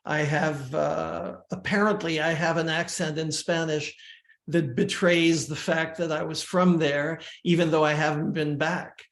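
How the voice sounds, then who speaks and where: An older man from Uruguay, a musician guest, talking in a measured, reflective way with frequent restarts. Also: fairly high pitch, steady tone, gravelly, fairly bright tone. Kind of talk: casual interview talk. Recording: echoey room.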